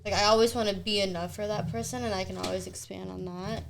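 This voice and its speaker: American woman with a creaky voice. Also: mid-range voice, steady tone, fast talker, slight background noise. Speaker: co-host. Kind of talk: casual chat.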